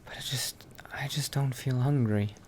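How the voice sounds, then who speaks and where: A man's voice: mid-range voice, normal speed, darkish tone, a little hiss or hum. A man roleplaying in character through a video game, thinking aloud in a hesitant murmur. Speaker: player roleplaying a vampire Dark Elf character.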